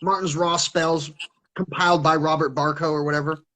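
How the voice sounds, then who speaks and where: A man's voice: a little high, steady tone, normal speed, bright, forceful tone, low-quality recording. A man talking casually as an interview guest on a video call, stuttering and restarting his sentences. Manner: stutters, many restarts and repeated words.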